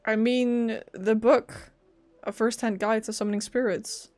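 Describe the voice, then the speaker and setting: A woman's voice: fairly high pitch, fairly even intonation, unhurried, darkish tone. A woman game streamer giving casual, playful, wry reaction commentary.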